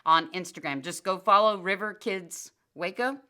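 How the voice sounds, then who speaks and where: An older woman co-hosting a casual church podcast, giving plainspoken, motherly advice in a fairly monotone voice. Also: fairly low pitch, normal speed. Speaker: podcast co-host.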